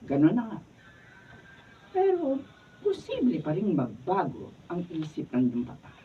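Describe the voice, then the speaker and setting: A calm family attorney. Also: mid-range voice, a little slow, a little hiss or hum. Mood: explaining. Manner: calm, explanatory, formal. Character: family lawyer handling a will. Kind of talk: radio drama acting. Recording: muffled and distant.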